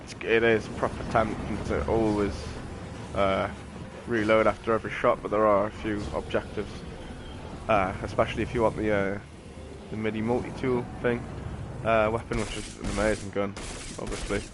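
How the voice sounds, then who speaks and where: A man's voice: lowish voice, little pitch movement, moderate pace, background noise. A British man giving casual, rambling, sweary gameplay commentary. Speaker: gaming streamer.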